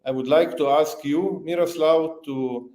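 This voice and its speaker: Man, remote presenter, earnest, lots of fillers, roomy echo, slightly high voice. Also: a little slow, darkish tone. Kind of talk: remote conference talk.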